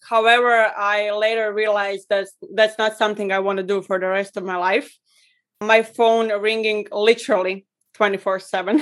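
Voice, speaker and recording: A woman from Croatia, a copywriter and podcast guest, explaining her work in a friendly way with light laughs. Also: mid-range voice. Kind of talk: interview answers.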